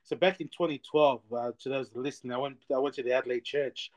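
A man hosting a casual podcast interview. His voice is at an ordinary pitch for a man, and he talks in a lively, chatty way, repeating "amen" and stumbling through fillers and restarts. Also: fast. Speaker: podcast host.

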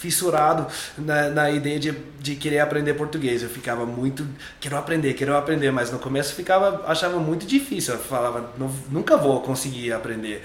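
A man's voice: fairly high pitch, brisk, hardly any pauses, roomy sound, slight background noise. A young adult man, a native English speaker, chatting casually as an interview guest, loose and repetitive, restarting his words. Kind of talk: relaxed interview chat.

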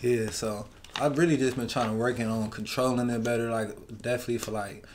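A soft-voiced, raspy man from Cleveland, a rapper as guest, chatting in a laid-back, slangy way full of "like". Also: mid-range voice, fairly even intonation, fairly quick, a little hiss or hum. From Cleveland, Ohio. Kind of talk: casual interview chat.

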